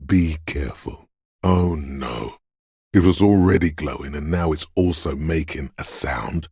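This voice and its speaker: A man voicing a paranoid, aggressive inner voice of primal fear in a video game, menacing, urgent and ominous, with a gravelly, husky sound. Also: a bass voice, measured pace, a little mellow, no echo, exaggerated voice. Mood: ominous, fearful. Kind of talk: video game voice acting, second-person dramatic narration.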